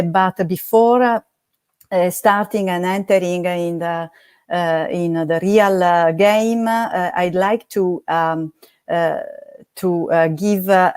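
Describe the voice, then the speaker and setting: A woman hosting an online event, talking haltingly with many fillers. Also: fairly low pitch, darkish tone, dry recording, clean studio sound. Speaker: event host. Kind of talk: hesitant opening remarks.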